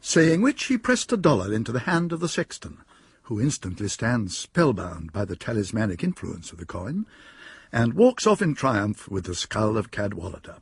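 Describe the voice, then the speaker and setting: A man's voice: fairly low pitch, sing-song, normal speed, slightly rough, dry recording. Wry male radio drama narrator.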